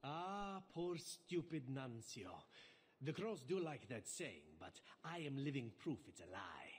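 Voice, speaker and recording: An actor playing a flirtatious, unflappable elf assassin in a video game, teasing and theatrical. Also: male voice, mid-range voice, big pitch swings, slow pace, slight background noise. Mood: amused, mocking. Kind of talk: video game character acting.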